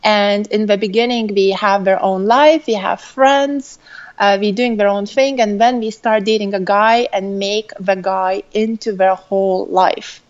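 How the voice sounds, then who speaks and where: A woman with a Lithuanian accent touched by Irish and British speech gives conversational answers as a coach. She takes her time, at a slow pace. The sound is dull and lo-fi, like a remote call. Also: medium pitch, steady tone. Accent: mixed Lithuanian, with Irish and British influence. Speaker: guest, relationship coach.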